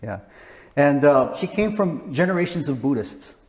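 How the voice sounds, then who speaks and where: A man's voice: on the high side, sing-song, normal speed, long reverb tail. A man in his sixties, a missionary, talking casually in an interview with frequent restarts. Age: 60s. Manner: rambling, frequent restarts and chuckles.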